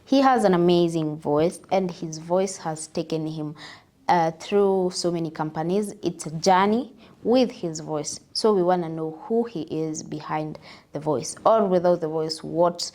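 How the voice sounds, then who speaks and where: Kenyan woman hosting a lively chat. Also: lowish voice, a little slow. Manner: lively, teasing, mixes in Swahili. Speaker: podcast host. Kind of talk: casual interview chat.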